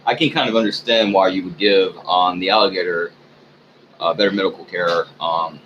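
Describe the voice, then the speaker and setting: A man with a very deep voice rambles through a sleepy, unscripted monologue at home, stopping often for ums and lost words. The recording sounds dull and muffled, with a clear hiss under it. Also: fairly even intonation, moderate pace, fairly bright tone. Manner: meandering, frequent ums, sleepy and low-key. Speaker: vlogger. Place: room at home.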